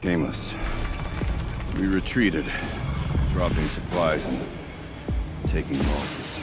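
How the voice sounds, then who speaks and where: Deep, slow, grim warrior chief. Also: male voice. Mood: stern, resolute. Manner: terse, grim, weighty. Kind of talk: video game cutscene acting. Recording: loud game music underneath.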